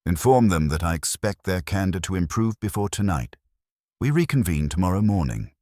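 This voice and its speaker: A man's voice: low-pitched, sing-song, normal speed, darkish tone, no echo, studio quality, voice pushed up. A man voicing an imperious, pedantic master detective with pompous, formal and precise speech in an audiobook. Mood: stern, commanding.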